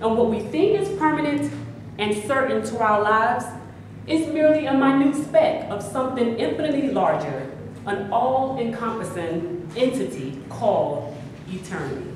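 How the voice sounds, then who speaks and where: A woman reading a prologue poem and addressing the audience in a measured, solemn way. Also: a little high, big pitch swings, darkish tone, a little hiss or hum. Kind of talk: staged reading narration. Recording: echoey hall, voice from far off.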